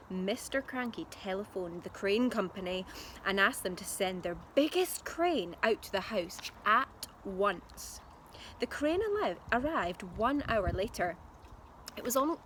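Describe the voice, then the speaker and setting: A woman's voice: mid-range voice, expressive, unhurried, a bit bright. A woman reading a storybook aloud expressively like a primary teacher, speaking directly to young listeners. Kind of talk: expressive storybook narration for children. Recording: clear background noise.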